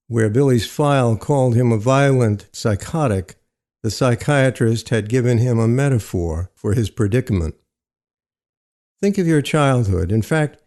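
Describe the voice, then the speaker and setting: A man reads literary fiction with a mellow, relaxed tone. His pitch sits in a middle male range, and his delivery is measured and deliberate, like careful audiobook storytelling. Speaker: narrator.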